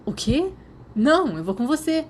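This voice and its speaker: A woman's voice: sits high, very animated, normal speed, slight background noise, voice pushed up. A Brazilian woman voicing a playful, stubborn teenage boy in audiobook dialogue. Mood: insistent.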